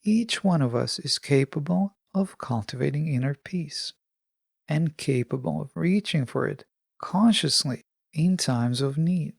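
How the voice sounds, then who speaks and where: A woman hosting a solo self-help podcast, speaking in a calm, measured and instructive way with pauses. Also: low voice, creaky, husky, no echo, clean studio sound. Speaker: podcast host.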